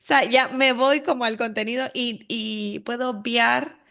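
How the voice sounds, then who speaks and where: A woman's voice: a little high, steady tone, measured pace, gravelly, fairly bright tone. A woman with a Spanish accent from Spain hosting a casual conversational interview, reflective and often checking for agreement. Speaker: podcast host.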